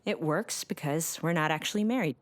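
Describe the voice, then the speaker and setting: Very low-voiced, composed Chinese woman officer acted. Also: a little fast, background noise, natural voice. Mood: confessional. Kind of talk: audio drama acting.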